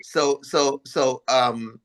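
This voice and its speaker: A man comments as a guest in a political discussion. His voice is mid-pitched, and he explains things simply, often halting and repeating words as he goes. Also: fairly even intonation.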